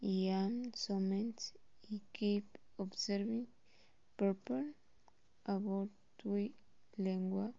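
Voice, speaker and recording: Slow, hesitant teen girl with Costa Rican accent. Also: mid-range voice, fairly even intonation, vocal fry, warm tone, no echo, dull audio. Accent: Costa Rican Spanish. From Costa Rica. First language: Spanish. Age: teens. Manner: halting, with pauses and self-corrections. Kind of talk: reading a personal introduction.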